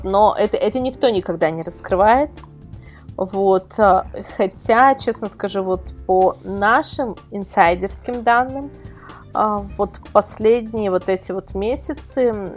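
A woman's voice: mid-range voice, unhurried. Chatty woman over a music bed. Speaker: guest, magazine-style portal editor. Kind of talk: casual podcast interview answers.

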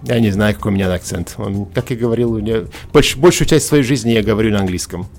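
Gravelly low-voiced man in his forties. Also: a little mellow, soft background music. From Russia. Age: 40s. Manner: thoughtful, halting, many fillers and restarts. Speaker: podcast guest. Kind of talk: casual podcast interview over a call.

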